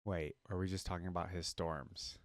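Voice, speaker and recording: A man's voice: low voice, fairly even intonation, moderate pace, dry recording. A man hosting a reaction video, commenting casually off the cuff and musing aloud in questions. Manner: musing, often trailing into questions.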